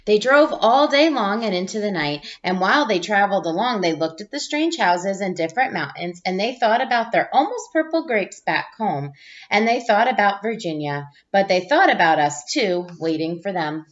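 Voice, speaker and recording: A woman with a mid-range voice reads a children's storybook aloud as a teacher would. Her delivery is expressive, with certain phrases stretched for emphasis. The recording is a little dull and lo-fi. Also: mid-range voice, moderate pace. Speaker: teacher reading aloud to pupils.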